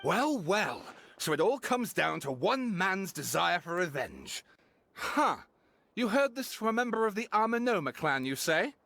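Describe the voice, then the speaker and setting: High male voice, swinging intonation, theatrical grudging young writer, video game dialogue. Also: very animated, measured pace, gravelly, a bit bright, dry recording, exaggerated voice. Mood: intrigued, then deflated. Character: huffy, dramatic young male novelist.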